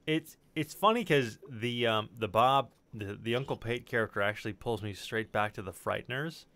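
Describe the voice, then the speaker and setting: A man's voice: medium pitch, normal speed, a bit bright. A man chatting casually on a livestream voice call, enthusiastic and slangy, prone to swearing.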